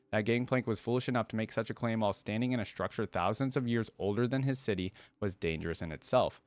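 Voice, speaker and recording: A man's voice: lowish voice, no room sound. A man hosting a reaction video, reading a story aloud and commenting casually as he goes.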